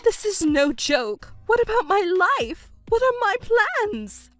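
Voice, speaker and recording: A woman's voice: high voice, sing-song, moderate pace, no room sound, faint music behind. A woman voicing a selfish, self-pitying middle-aged stepmother in a melodramatic audio drama. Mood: indignant.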